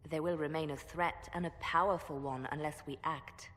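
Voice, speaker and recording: Game spymaster: actress, lowish voice, calculating, cool, deliberate, long hall reverb. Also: female voice, fairly even intonation, lightly acted. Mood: grave. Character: shrewd, calculating female spymaster advisor. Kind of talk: dramatic game cutscene acting.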